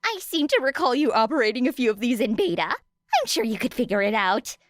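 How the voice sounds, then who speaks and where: Woman acting eccentric teen girl inventor, audiobook character, high lively pitch, breezy, teasing, self-assured. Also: brisk, no echo, clean studio sound. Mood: breezy, eager.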